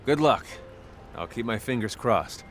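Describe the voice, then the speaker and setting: A gruff, kindly team leader. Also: male voice, mid-range voice, lively intonation, unhurried, fairly bright tone, soft background music. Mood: subdued. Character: gruff but kind, generous older male team leader. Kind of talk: video game cutscene acting.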